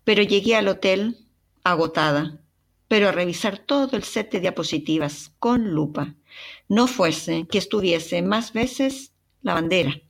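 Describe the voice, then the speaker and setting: Woman, Chilean accent, young adult, breathy, expressive personal storytelling, podcast narration. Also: mid-range voice, measured pace. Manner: expressive, dramatic retelling with sighs and exclamations.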